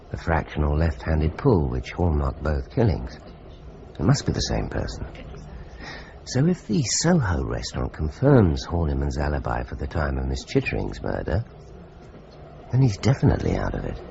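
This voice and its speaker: An actor playing a police inspector who reasons through a case aloud in a measured, analytical way, in a radio drama. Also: male voice, a bass voice, creaky, dark tone, muffled recording, a little hiss or hum, full character voice.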